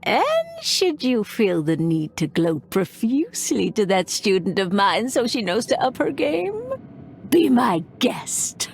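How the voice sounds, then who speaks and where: A woman's voice: mid-range voice, very animated, measured pace. A woman playing a playful older witch in a toy body in a video game, with a grand, teasing delivery. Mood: amused approval. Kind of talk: scripted video game voice acting. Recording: game music loud behind voice. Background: loud game music.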